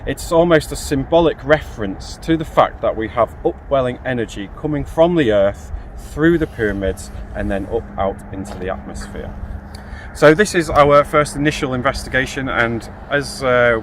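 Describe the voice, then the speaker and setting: A man's voice: medium pitch, a bit bright. A man in his thirties giving an informal, explanatory lecture outdoors to camera, posing questions and answering them. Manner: explanatory, poses rhetorical questions. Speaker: field geologist giving an explanation. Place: outdoors in a grassy field. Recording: outdoor recording with clear ambient noise.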